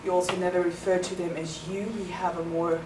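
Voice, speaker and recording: South African woman with low voice, echoey. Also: flat delivery, fairly quick. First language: Afrikaans. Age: young adult. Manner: thoughtful, explanatory, with many ums. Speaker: interviewee, international student. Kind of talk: interview answers. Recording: echoey room, far from the mic, noisy.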